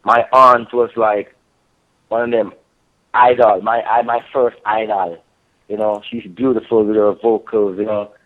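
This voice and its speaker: A man's voice: fairly low pitch, level tone, a little slow. Laid-back Jamaican man, lo-fi line. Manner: laid-back, peppered with "you know" and "yeah, man". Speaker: reggae singer, guest. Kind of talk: casual interview over a call.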